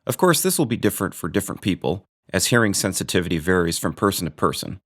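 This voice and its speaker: A man's voice: sits low, big pitch swings, fast talker, fairly bright tone. A man hosting a gear review video, narrating from a script with dry, joking asides.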